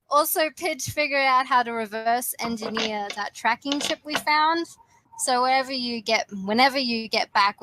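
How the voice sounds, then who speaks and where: A woman's voice: fairly high pitch, a bit bright, poor audio. A woman playing a big, friendly, anxious young man who rambles hesitantly through video messages in an amateur fan fiction reading. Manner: rambling, hesitant, stumbling casual delivery.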